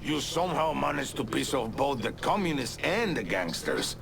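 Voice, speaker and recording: Gravelly bitter Cuban exile. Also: male voice, mid-range voice, big pitch swings, moderate pace, background noise. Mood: bitter, wary. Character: bitter male Cuban exile, hard-bitten anti-communist informant. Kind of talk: video game cutscene acting.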